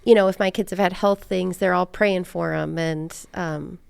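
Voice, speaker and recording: A woman's voice: lowish voice, fairly even intonation, moderate pace, creaky, clear, resonant tone, dry recording. A woman hosting a podcast, chatting casually in a conversational interview style. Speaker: podcast host.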